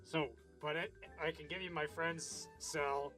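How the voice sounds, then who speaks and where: A performer playing Death as a petty, impatient customer in a comedy sketch, talking in a casual, halting, everyday way. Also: mid-range voice, fairly even intonation, sharp tone, soft background music. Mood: grudging.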